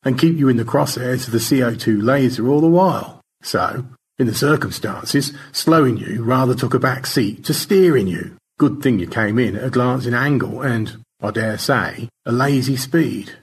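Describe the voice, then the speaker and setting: A man's voice: mid-range voice, moderate pace, breathy edge, pitched above the performer's own voice. A man voicing an earnest, fussy space crewman in an audio story, speaking in a precise, formal, politely roundabout way. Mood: modestly formal. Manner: precise, circumlocutory, hesitantly polite.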